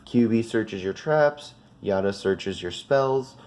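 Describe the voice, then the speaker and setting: A man's voice: lowish voice, lively intonation, slow, a little mellow. A man with a slightly sniffly, congested voice gives a casual, unscripted card deck walkthrough in an informal, rambling, self-correcting way. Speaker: trading card video creator.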